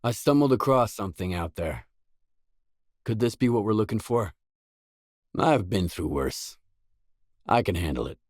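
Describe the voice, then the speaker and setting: A man's voice: on the low side, expressive, a little slow, pauses often, no room sound, clean studio sound. A man playing a determined, blunt stalker in a video game, speaking tersely and seriously in matter-of-fact dialogue lines. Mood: resolute. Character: determined, blunt male stalker adventurer in a grim zone. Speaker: game protagonist.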